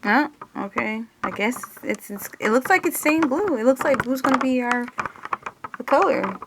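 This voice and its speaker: Creaky-voiced woman, casual ASMR roleplay. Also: high-pitched, lively intonation, moderate pace, noisy background. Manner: casual, hesitant, talking through each step. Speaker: ASMR creator playing an examiner. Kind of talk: ASMR roleplay in normal speaking voice.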